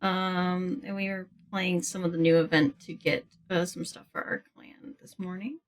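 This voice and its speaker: A woman's voice: fairly low pitch, little pitch movement, moderate pace. A woman livestreaming a game, chatting casually and playfully with her viewers as she plays. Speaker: live streamer. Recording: faint game music behind.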